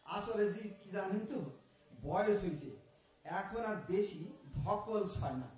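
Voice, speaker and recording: A man's voice: high-pitched, animated, measured pace, a little mellow. A man acting an aging, cheeky thief in an audio drama, with an East Bengali dialect and a folksy, mock-polite, talkative manner. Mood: complaining. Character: aging male thief, cheeky, philosophizing, self-styled honest. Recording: echoey room, far from the mic.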